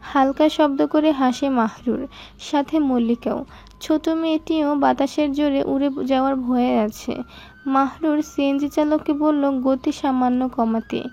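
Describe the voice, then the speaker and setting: A woman reads a story as narrator in a very high, even voice. Her tone is soft-edged and mellow, and the recording is slightly dull. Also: unhurried.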